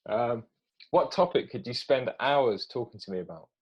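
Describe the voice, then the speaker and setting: A man's voice: medium pitch, moderate pace, breathy edge, lo-fi sound. A man speaking as an interview host in a conversational style.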